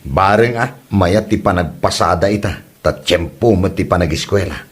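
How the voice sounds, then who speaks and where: A man's voice: sits low, moderate pace, breathy edge. A young man acting in a comedic audio drama, a jokey son who drives a tricycle and laughs often. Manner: lively and teasing, laughs often. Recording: music bed behind the voice.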